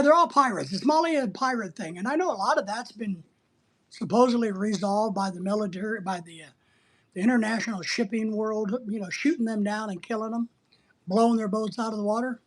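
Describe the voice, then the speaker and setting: A livestream host from the United States with a husky voice, chatting casually and bluntly while teasing viewers and rambling through answers to their comments. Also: mid-range voice, normal speed. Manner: blunt, teasing, sometimes breaking into playful exclamations.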